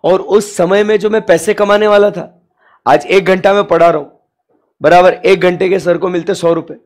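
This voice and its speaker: A man's voice: high voice, fairly even intonation. A young man lecturing a class with a sore throat, mixing Hindi and English and quizzing students with rhetorical questions. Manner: asks students rhetorical questions, drawn-out emphatic English phrases. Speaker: commerce lecturer.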